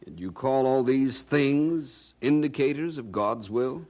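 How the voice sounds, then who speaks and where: An actor playing a genial, skeptical colonial printer in an audio drama, speaking in a wry, amused, conversational way. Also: male voice, medium pitch, lively intonation, slow, a little mellow. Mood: probing.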